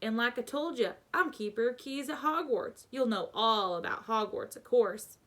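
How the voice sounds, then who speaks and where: A gruff, kindly giant of a storybook as a woman reader puts him on. The voice booms and growls with a quick temper and drawn-out emphasis, bright and forceful, pushed well up in pitch. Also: expressive. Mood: friendly. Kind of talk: children's storybook read-aloud.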